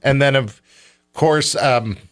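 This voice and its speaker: A man's voice: mid-range voice, slow, fairly bright tone, dry recording, studio quality. A man hosting a radio show, chatting casually in a joking, teasing way. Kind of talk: casual chat.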